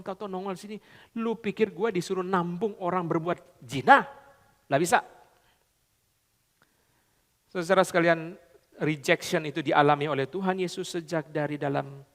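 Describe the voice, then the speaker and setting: A man preaching a sermon, swinging between loud high emphasis and low quiet asides, often slipping into English and casual slang. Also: long reverb tail. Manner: repeats "saudara" constantly, mixes in English phrases and colloquial Jakarta slang. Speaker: pastor.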